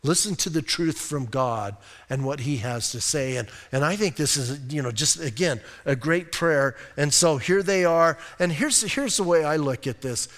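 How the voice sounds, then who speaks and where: Higher-voiced male pastor in a reverberant hall. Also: animated, a bit bright. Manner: conversational, emphatic, with rhetorical questions; reads scripture slowly and deliberately. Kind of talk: sermon with scripture reading.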